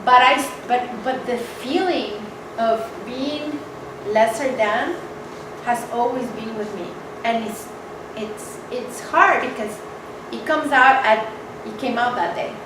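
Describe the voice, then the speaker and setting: A woman from Mexico, a guest speaker, telling a personal story to an audience in a candid, animated way. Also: on the high side, takes it slowly. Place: event hall with audience. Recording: echoey hall, mic from far off, noisy.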